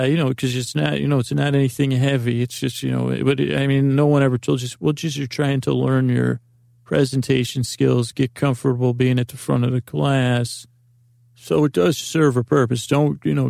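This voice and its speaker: A man's voice: mid-range voice, little pitch movement, normal speed, vocal fry, a little mellow, no echo, clean studio sound. A man hosting a podcast, rambling through a comic monologue full of restarts and self-interruptions, acting out imagined exchanges. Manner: acts out imagined dialogues. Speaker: podcast host.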